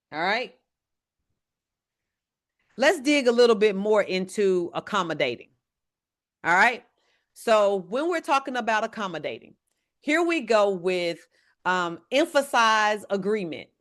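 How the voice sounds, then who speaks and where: A woman, an evangelist teaching a church leadership lesson in a loud voice. She speaks to the audience directly and checks in with them often. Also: a little high. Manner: says she has a very loud voice; talks to the audience directly, often asking "right?".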